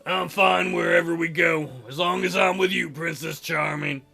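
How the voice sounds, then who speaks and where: Man playing a shy, creaky-voiced young lover. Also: a little high, fairly even intonation, moderate pace, pushed and bright, faint music behind, pitched below the performer's own voice, full character voice. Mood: tender. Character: shy, flustered young male lover, tender and awkward. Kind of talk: character voice in a visual novel read-aloud.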